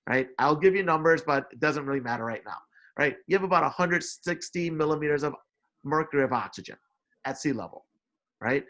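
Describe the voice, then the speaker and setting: Higher-voiced man giving an informal lecture. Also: a bit bright. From Indonesia, moved to America at eight. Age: 40s. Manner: repeatedly checks with "right?", repeats key phrases for emphasis. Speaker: lecturer, science instructor.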